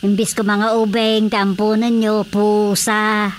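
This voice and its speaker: A woman's voice: mid-range voice, level tone, takes it slowly, a little hiss or hum, close to an everyday voice. A woman playing a frank older village woman in an Ilocano radio drama comedy, with an Ilocano accent. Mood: frank, chiding.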